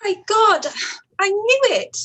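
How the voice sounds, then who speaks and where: Actress doing a really high voiced young period lady, upset and proud, blames herself, halting radio play delivery. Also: sing-song, pushed and bright, heavily acted. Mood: shocked, bitter. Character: distressed young gentlewoman, proud, self-reproaching. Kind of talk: live-read radio play acting of a period drama.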